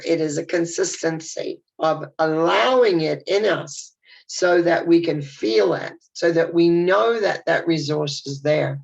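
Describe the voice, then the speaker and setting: A woman's voice: sits low, creaky. An older woman speaking in a chatty, reflective video message, her voice nasal and congested from a head cold. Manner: chatty, warm and reflective, with rambling restarts. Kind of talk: casual spoken video message. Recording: dull lo-fi sound.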